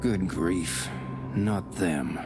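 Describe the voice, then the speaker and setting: An actor playing a deferential, earnest local police investigator in a period detective visual novel. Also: male voice, low-pitched, extremely slow, over music, full character voice. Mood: uneasy, frank. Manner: respectful, earnest. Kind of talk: scripted visual novel voice acting.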